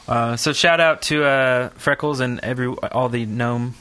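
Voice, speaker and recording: A man's voice: mid-range voice, steady tone, measured pace, bright, forceful tone, clear, resonant tone. A man co-hosting a podcast in casual chat, rambling along in a jokey, self-deprecating way. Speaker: podcast co-host.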